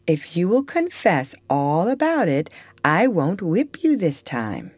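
A woman's voice: fairly low pitch, very animated, takes it slowly, darkish tone, dry recording, full character voice. A woman voicing a strict, prim middle-aged aunt who scolds and exclaims as she reads a storybook aloud. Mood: coaxing. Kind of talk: storybook reading.

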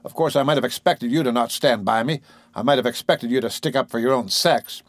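A man reading an audiobook voices a blustering middle-aged businessman who lectures and brags in a loud, hectoring way. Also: mid-range voice, a little fast, no echo, close to an everyday voice. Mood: boastful.

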